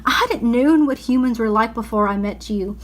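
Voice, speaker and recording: A woman's voice: a little high, normal speed, natural voice. A woman voicing an anxious, remorseful male faun from a storybook, pleading and confessing dramatically. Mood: resolved, urgent.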